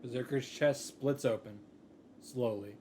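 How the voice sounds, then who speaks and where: A man's voice: medium pitch, unhurried, dark tone. Man reading a story dramatically over noise. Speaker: video creator reading a visual novel aloud. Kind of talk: dramatic read-aloud narration with off-the-cuff reactions.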